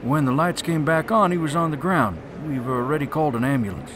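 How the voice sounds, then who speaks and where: Museum guard played by a man: everyday, highish voice, matter-of-fact, audio drama, clear noise behind. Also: animated, a little fast, natural voice. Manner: plain, casual, businesslike.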